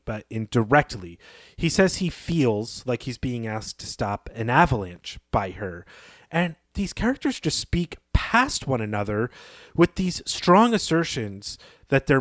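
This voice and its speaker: Male co-host, mid-range voice, dry studio-like sound. Conversational literary analysis, wordy, reasoning out loud. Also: medium pitch, very animated, a little slow, dry recording. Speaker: podcast co-host.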